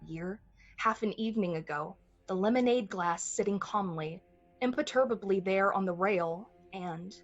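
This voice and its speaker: A woman's voice: mid-range voice, a bit bright, a little hiss or hum, close to an everyday voice, poor audio. A woman playing the storyteller of a thriller, narrating intensely and with suspense in the manner of a 1940s radio play. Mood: tense. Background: music.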